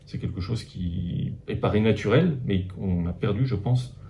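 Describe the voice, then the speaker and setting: A Frenchman with a low, gravelly voice talks through his answers, pausing and restarting as he thinks. His tone is dark and mellow. Faint noise sits under the recording. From France. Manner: hesitant, restarts phrases, reflective. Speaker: interviewed husband. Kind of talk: casual interview answers.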